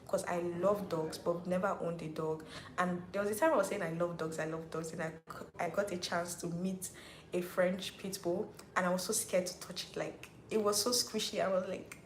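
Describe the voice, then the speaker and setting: Nigerian girl in her 20s chatting on a live stream, kinda low voice, echoey room. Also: slight background noise. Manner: chatty, reads out viewer comments, says "like" often. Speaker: YouTuber hosting a live Q&A. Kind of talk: casual livestream chat. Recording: roomy echo.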